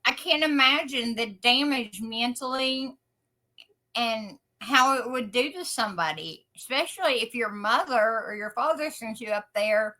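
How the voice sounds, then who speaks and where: A woman's voice: a little high, lively intonation, measured pace, bright, forceful tone, rough recording. A woman talking casually as a co-host on a true-crime podcast.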